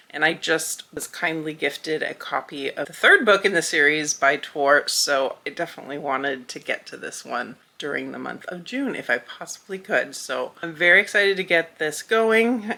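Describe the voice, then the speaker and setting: Bright-voiced woman with a slight cough. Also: low-pitched, vocal fry. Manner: relaxed, conversational, upbeat. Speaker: book vlogger. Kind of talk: casual chatty book update.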